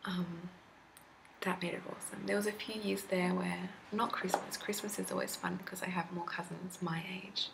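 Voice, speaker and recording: A woman in her twenties whispering a rambling ASMR chat, with a slightly deep whisper, recorded on a laptop in a candlelit room at home. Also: little pitch movement, moderate pace, vocal fry. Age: 20s. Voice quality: slightly deep whisper, not soft-spoken. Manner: rambling, chatty, laughs often. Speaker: ASMR creator. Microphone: laptop mic. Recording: grainy noisy laptop recording.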